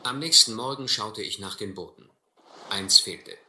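A man playing an earnest gentleman who narrates his own ordeal at sea in a German radio play, measured and grave. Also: fairly low pitch. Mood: grave, reflective. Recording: roomy, faintly hissy old radio play.

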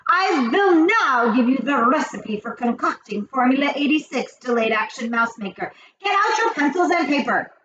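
An imperious witch queen from a storybook, cruel and boastful. The voice sits high and swoops dramatically, stretching words with grand, venomous command. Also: big pitch swings, a bit bright, muffled recording, slight background noise. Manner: commanding, grandiose, drawn-out emphatic delivery. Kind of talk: storybook character voice.

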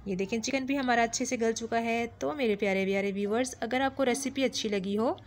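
A woman's voice: a little high, quick pace, slight background noise. A woman, narrating a home cooking recipe as a channel host, in a friendly, instructive way with small fillers. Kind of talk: step-by-step cooking voice-over. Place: home kitchen.

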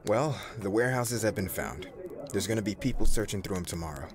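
A man acting a loyal, low-voiced male employee, roomy echo. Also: animated, brisk, crowd noise. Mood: anxious, apologetic. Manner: earnest, respectful. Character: loyal, deferential, anxious male right-hand employee. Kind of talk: audio roleplay acting. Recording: roomy echo, faint background noise.

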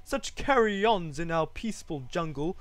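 A man's voice: sits high, sing-song, measured pace, a bit bright, no echo, voice pushed up, heavily acted. A man voicing a sour, haughty mother kangaroo in a storybook, snapping and scolding indignantly. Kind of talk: storybook character voice.

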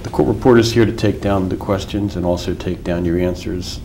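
A man questioning a witness as an attorney in a formal deposition, measured with filler pauses. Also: low voice, steady tone, warm tone, slight background noise.

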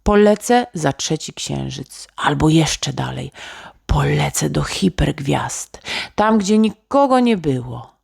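A woman voicing a dreamy, excited little boy inventor in a children's bedtime story, musing aloud eagerly. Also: low voice, slow pace, gravelly, slightly rough, no echo, studio quality, full character voice. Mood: dreamy, determined.

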